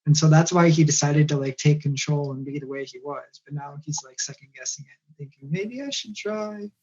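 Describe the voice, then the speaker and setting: A man's voice: fairly high pitch, level tone, fairly quick, warm tone, slightly rough, highs cut off. A man on a casual podcast chat, giving blunt opinions with dry humor. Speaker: podcast co-host and voice actor.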